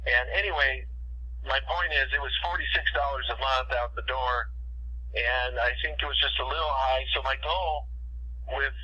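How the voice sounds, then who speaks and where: A man hosting a business conference call, talking informally in a rambling way and repeating himself as he makes his pitch. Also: moderate pace, bright, forceful tone, husky. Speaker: host and business owner leading a conference call. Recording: dull, lo-fi phone conference line.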